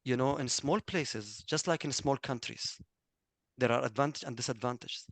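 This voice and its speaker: A middle-aged man with a thick Egyptian accent speaks earnestly, pausing on fillers and correcting himself as he goes. His voice sits in a middle pitch. The sound is dry and close, with no room echo. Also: a bit bright. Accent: Egyptian Arabic. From Egypt, raised in Kuwait. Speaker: former student speaker. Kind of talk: webinar testimonial talk.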